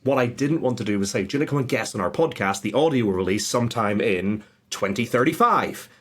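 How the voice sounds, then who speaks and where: A man's voice: mid-range voice, expressive, fast, slightly rough. A man giving casual, informal answers as a podcast guest, with a self-deprecating way of talking. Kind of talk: casual conversational interview answers.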